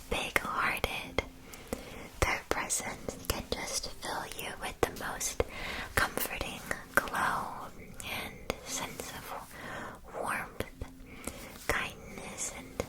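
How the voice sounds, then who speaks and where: A woman playing a gentle, attentive witch-school shopkeeper in a soft, soothing ASMR roleplay. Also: very slow, long pauses, rough recording.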